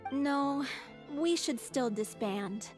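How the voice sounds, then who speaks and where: A frail, unlucky troupe actress. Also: female voice, sits high, lively intonation, slow pace, soft background music. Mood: resigned. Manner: quiet and resigned. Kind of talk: game voice acting.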